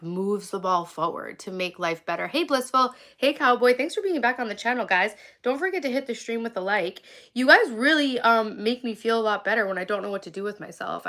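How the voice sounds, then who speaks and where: Sniffly fry-voiced woman in her forties. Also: mid-range voice, bright, forceful tone. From Long Island, New York. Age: 40s. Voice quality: vocal fry. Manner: rambling, heavy use of "like". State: sniffly from a cold. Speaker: livestream vlogger. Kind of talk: casual live stream chat with viewers. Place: outdoors, sitting under a tree.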